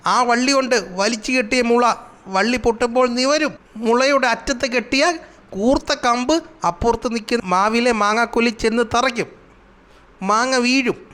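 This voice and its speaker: A man voicing a cunning, grumbling trickster animal in a cartoon comedy, animated and full of schemes. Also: extremely high pitch, normal speed, clear, resonant tone. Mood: scheming, smug. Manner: animated, exasperated, explains schemes with sound words. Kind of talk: Malayalam children's cartoon comedy acting.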